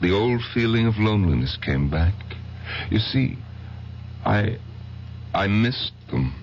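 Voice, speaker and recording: Creepy cold lonely guy confessing, real low and halting, dull old radio sound. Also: animated, a little hiss or hum. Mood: brooding, wistful. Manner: halting, deliberate, genteel storyteller. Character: lonely, cold-hearted obsessive man confessing a crime. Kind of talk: old-time radio drama acting.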